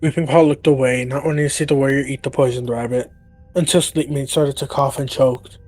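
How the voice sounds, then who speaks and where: A man's voice: medium pitch, steady tone, fairly quick, darkish tone, faint music behind. A man narrating a dramatic roleplay story as one of its storytellers.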